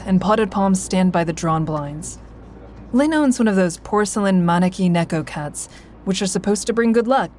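A woman's voice: on the low side, a little mellow, slight background noise, close to an everyday voice. An actress playing a guarded, wry woman who narrates her life in a first-person audio drama with dry humor.

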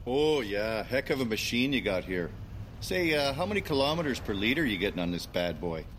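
Folksy canadian hubby, hockey-mad, super chummy, audio comedy. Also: male voice, mid-range voice, very animated, brisk, a bit bright, a little hiss or hum. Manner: folksy, chummy, over-polite. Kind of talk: comedy audio drama acting.

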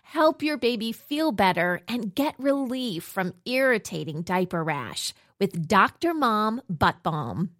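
A woman reading a scripted advertisement in a lively, upbeat manner. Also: medium pitch, takes it slowly, few pauses, no echo, clean studio sound. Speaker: ad reader.

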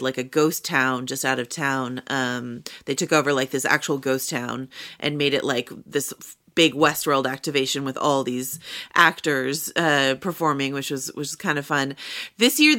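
A woman co-hosting a podcast, chatting in a lively way with lots of fillers over a remote line. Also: deep voice, clean tone. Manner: chatty, animated, many fillers. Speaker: podcast co-host, senior writer. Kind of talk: casual chat. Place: on location at a festival.

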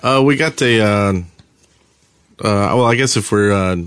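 A man co-hosting a podcast in casual chat, with a hesitant, halting delivery. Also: lowish voice, a bit bright, clean tone, dry recording. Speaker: podcast co-host.

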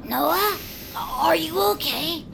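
A woman voicing an excitable, eager stargazing commander in a comedy radio play who gets breathless easily. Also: sits high, takes it slowly, creaky, noisy background, full character voice. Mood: calm.

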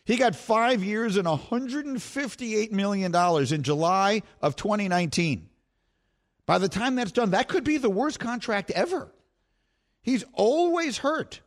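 A middle-aged man hosting a sports talk show, telling stories with animated self-mocking humor. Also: sits high, a little slow. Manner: animated storytelling, laughs at himself.

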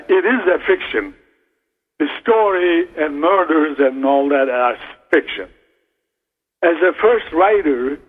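Male author, husky, slow and halting, thin phone sound with a long echo. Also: a little high. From Turkey. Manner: slow, halting, restarts phrases. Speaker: novelist guest. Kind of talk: radio interview. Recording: phone line, echoey hall.

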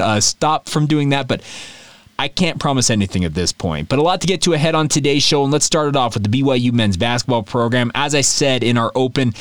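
A man hosting a solo sports podcast, talking in a chatty, animated way straight to his listeners. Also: mid-range voice, no echo.

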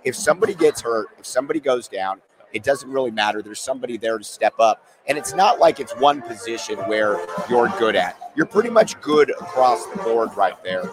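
A man hosting a casual sports talk show, his voice worn hoarse from days of yelling. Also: mid-range voice, animated, fairly quick, poor audio. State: losing his voice.

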